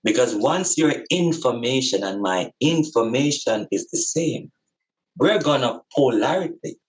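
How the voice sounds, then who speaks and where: A man's voice: mid-range voice, low-quality recording. A man with a Jamaican accent giving a reflective spiritual talk in an emphatic, preaching manner, with repeated phrases and rhetorical questions.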